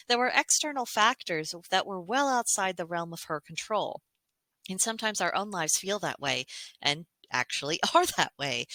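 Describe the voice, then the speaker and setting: A woman host giving reflective commentary in a measured, articulate and explanatory way. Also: lowish voice, sharp tone, no room sound. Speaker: host of a fairy tale commentary series.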